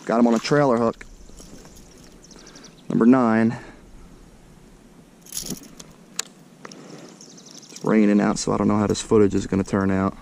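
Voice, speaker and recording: An American man narrating his fishing trip from a kayak on a lake in casual, excitable commentary, talking straight to his viewers. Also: lowish voice, a little slow, gravelly, long pauses, a little hiss or hum. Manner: excitable, slangy, talks to viewers as "guys". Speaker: fishing vlogger. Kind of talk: casual running commentary to camera. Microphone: action camera mounted on the kayak.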